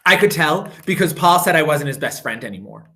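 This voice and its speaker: A man, a teacher, reading a children's picture book aloud with expressive, drawn-out emphasis on repeated phrases. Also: on the high side.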